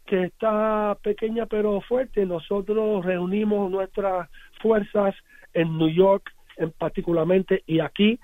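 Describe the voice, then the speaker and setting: A man who is a musician, with an American English accent, answering interview questions in a halting way with many restarts and repeated words. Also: high-pitched, no echo. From United States. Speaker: musician guest. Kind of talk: radio interview, casual recollection. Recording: dry, phone-like radio line.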